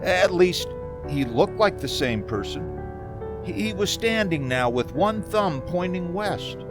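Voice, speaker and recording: An actor playing an uneasy, haunted motorist who tells his story in a hesitant, deliberate radio drama style. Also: high voice, expressive, music in the background. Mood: uneasy, brooding. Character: uneasy, haunted male motorist recounting a strange journey. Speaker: narrator and lead actor. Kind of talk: radio drama monologue, old-time radio acting.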